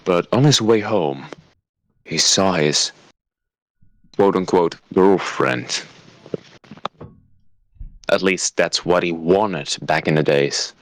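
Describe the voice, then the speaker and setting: A man casually narrating a short story, slowly and with hesitations. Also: deep voice, a bit bright, dry recording, low-quality recording. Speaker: streamer narrating his skit.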